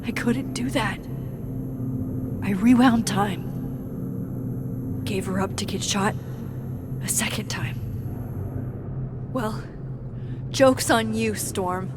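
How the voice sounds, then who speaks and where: A woman acts out a guilt-ridden young heroine of a video game. She speaks slowly and deliberately, with a dry wit over her sorrow, and there is clear background noise around her. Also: mid-range voice, lightly acted. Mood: bitter, sorrowful. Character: haunted young woman photographer, wry, guilt-ridden, introspective. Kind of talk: video game cutscene acting, soliloquy.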